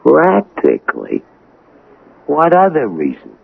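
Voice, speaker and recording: An actor playing a nervous, suspicious small-time crook in a radio drama, grumbling, stammering and slangy. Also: male voice, fairly high pitch, wildly swooping pitch, slow, vocal fry, slightly rough, heavily acted. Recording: tinny, phone-like old radio broadcast.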